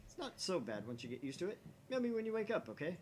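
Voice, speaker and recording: A man's voice: sits high, very animated, fast, slight background noise, pitched above the performer's own voice. A man voicing a chatty, eager and slightly awkward young woman's messages in a visual novel, in a breezy, rambling way.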